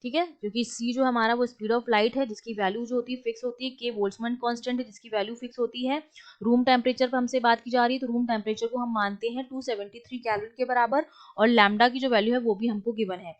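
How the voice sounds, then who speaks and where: A woman's voice: on the high side, steady tone, quick pace, muffled recording. A woman with an Indian accent teaching physics, explaining step by step, switching between two languages and posing questions she answers herself. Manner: asks rhetorical questions and answers them herself; mixes Hindi and English. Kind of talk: explanatory lesson, working through a problem step by step.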